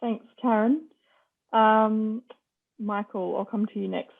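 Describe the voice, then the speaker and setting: A woman moderating an online panel discussion, with a hesitant, halting delivery. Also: a little high, steady tone, vocal fry. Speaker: forum moderator. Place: online video call. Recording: muffled, band-limited video call audio.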